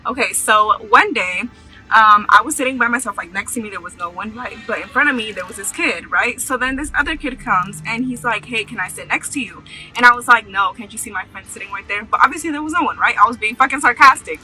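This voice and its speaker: Very bright, high-voiced young American woman. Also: fairly quick, soft background music, low-quality recording. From United States. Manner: chatty, rambling, informal with swearing. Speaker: storyteller. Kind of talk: casual personal storytime. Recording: faint background noise.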